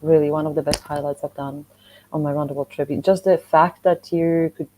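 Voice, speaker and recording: A woman with a Polish accent and a low-pitched, soft-edged voice. She talks in a chatty, animated way, like a guest casually telling travel stories. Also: moderate pace, low-quality recording. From Poland. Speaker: podcast guest, motorcycle traveller and content creator. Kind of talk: casual conversational storytelling.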